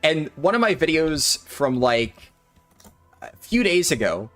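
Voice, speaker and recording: A man's voice: on the high side, big pitch swings, takes it slowly, a bit bright, soft background music. Casual man chatting on a game stream. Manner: chatty, thinks aloud, answers chat. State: maybe tired or sore throat. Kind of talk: casual livestream commentary while gaming.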